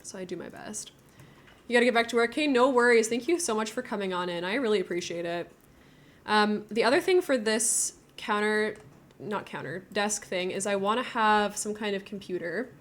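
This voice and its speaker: A woman streams a building game and chats as she goes. She thinks out loud in a casual, giggly way, at a middling pitch. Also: moderate pace. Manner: chatty, thinking aloud, giggly.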